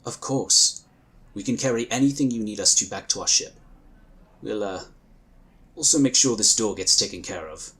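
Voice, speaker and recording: An actor playing a calm, courteous male psionic inquisitor, speaking formally and gently in a husky voice. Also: fairly low pitch, moderate pace, long pauses. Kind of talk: audio drama acting.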